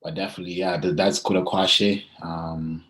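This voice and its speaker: A man's voice: sits low, level tone, a bit bright. A young man, chatting casually and hesitantly as an athlete being interviewed. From Zimbabwe and South Africa. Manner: hesitant, frequent pauses and "you know".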